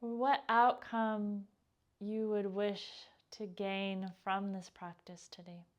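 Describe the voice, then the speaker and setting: A woman leading a guided meditation as a yoga teacher, speaking gently and without hurry, her phrases trailing off softly. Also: medium pitch, little pitch movement, takes it slowly.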